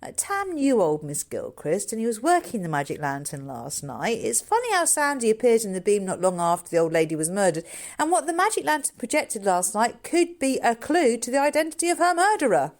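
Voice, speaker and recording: A woman's voice: fairly high pitch, big pitch swings, a little fast, pitched above the performer's own voice. A woman voicing a thoughtful boy who muses keenly on ghosts and clues in a storybook. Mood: musing, keen.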